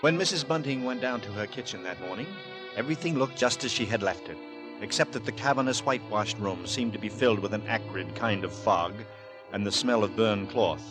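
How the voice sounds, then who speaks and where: An actor narrating a Victorian horror tale in a grave, measured, dramatic 1940s radio suspense style. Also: male voice, fairly low pitch, natural voice. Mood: brooding, suspenseful. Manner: measured, dramatic, brooding delivery. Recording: vintage 1940s radio recording with music.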